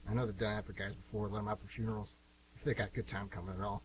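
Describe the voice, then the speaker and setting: Man acting fast-talking cocky young jailbird, distant mic. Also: on the low side, little pitch movement, natural voice. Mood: bummed, rueful. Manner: flippant, slangy, casual. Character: cocky, slangy young male jail inmate, flippant. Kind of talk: radio play monologue. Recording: distant mic with light hiss and hum.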